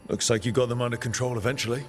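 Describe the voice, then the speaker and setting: A man's voice: medium pitch, little pitch movement, rapid-fire, faint music behind, close to an everyday voice. A man voicing a friendly, inquisitive party leader in a video game, with a casual, supportive manner.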